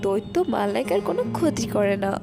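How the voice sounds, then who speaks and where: A woman's voice: mid-range voice, big pitch swings, fast, gravelly. Woman narrating a fairy tale over music.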